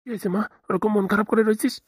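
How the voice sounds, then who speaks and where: A woman's voice: medium pitch, steady tone, fast talker, slightly rough, dry recording, studio quality, lightly acted. A woman voicing a village father in a cartoon comedy, soothing a grown daughter in folksy, colloquial speech. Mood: reassuring.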